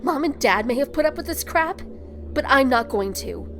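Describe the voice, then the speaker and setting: A woman's voice: sits high, lively intonation, moderate pace, faint music behind. A woman playing an exasperated, hurt younger sister in an audio drama, scolding and emphatic. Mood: hurt, disappointed.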